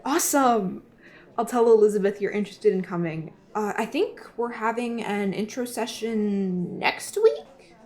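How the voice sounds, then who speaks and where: Actress as a mellow, coy cult recruiter. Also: female voice, medium pitch, sing-song, unhurried. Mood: excited. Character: gushing, evasive young woman recruiter for a secretive group. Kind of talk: audio drama dialogue.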